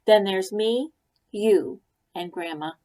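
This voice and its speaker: A woman voicing a bossy, organized young girl bunny, speaking brightly and with emphasis. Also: medium pitch, takes it slowly, natural voice.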